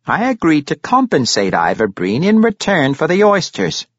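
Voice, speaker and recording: A shrewd, amused old patriarch from a fantasy storybook, as a man acts him out, speaking with courtly flourish. The pitch is raised and extremely animated, and the recording is dry and slightly dull. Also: normal speed, no echo. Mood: bargaining. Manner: courtly, theatrical, amused, flowery phrasing. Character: jovial, shrewd, courtly older crime-boss grandfather.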